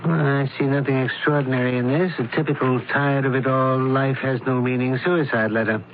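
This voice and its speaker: An actor playing a brisk, shrewd doctor turned investigator in an old-time radio mystery, questioning with clipped authority. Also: male voice, mid-range voice, level tone, lightly acted. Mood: measured, shrewd. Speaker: investigator character. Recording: narrow, tinny old radio recording, hiss.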